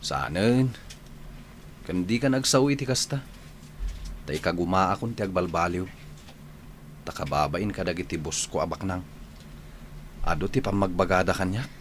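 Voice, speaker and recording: An actor playing an earnest, reformed working husband in a radio drama. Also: male voice, low-pitched, normal speed, pauses often, a little hiss or hum, lightly acted. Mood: contrite.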